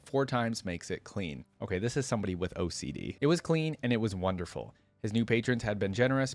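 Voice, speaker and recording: A man's voice: lowish voice, no echo. A man hosting a reaction video, informal in manner, moving between reading a story aloud and casual commentary.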